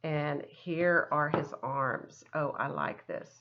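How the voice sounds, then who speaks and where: A woman's voice: sits low, takes it slowly, creaky, highs cut off, noisy background. A woman art teacher talking children through a craft step by step in a chatty, encouraging, casual way. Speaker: art teacher giving a demonstration.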